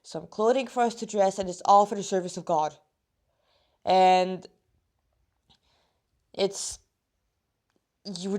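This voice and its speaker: A woman reads her own story aloud in a medium-pitched, slightly rusty voice. She is casual and chatty, and she tends to ramble, stopping partway through sentences to add asides before picking up again. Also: a little mellow. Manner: rambling, digressive, often stopping mid-sentence to comment. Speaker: fan fiction author reading her story aloud.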